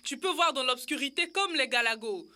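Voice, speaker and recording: A woman's voice: sits high, animated, a little fast, pushed and bright. A woman playing an eager, curious young child in an educational children's cartoon dub. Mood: excited.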